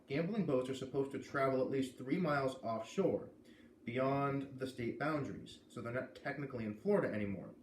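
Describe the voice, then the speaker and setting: A man with a medium-pitched voice reads a story aloud as a casual host. He sounds a little distant from the microphone, in a roomy space with faint noise. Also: darkish tone. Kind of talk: storybook narration with casual asides. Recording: echoey room, distant mic, faint noise.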